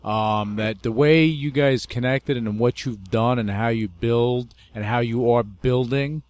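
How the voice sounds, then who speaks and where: A man's voice: mid-range voice, unhurried, a little mellow. Effusive male radio host. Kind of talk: casual interview chat.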